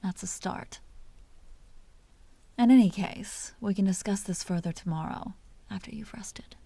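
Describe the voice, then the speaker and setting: A woman playing a seductive, possessive vampire duchess in an intimate audio roleplay, teasing and genteel in a breathy whisper. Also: medium pitch, dark tone, no room sound. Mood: teasing, coy. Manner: slow, teasing, genteel and commanding.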